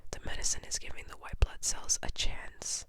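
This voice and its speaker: A person reading a children's story aloud entirely in a soft, breathy, unvoiced whisper, in an ASMR style. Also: normal speed, no echo. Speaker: storybook reader.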